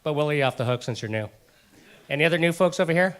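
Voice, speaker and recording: A man's voice: fairly high pitch, expressive, very fast, clean tone. A man hosting a casual group meeting, joking and upbeat, speaking into a handheld mic in a meeting room. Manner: upbeat, joking, repeats "all righty". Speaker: meeting host. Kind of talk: casual emcee chat.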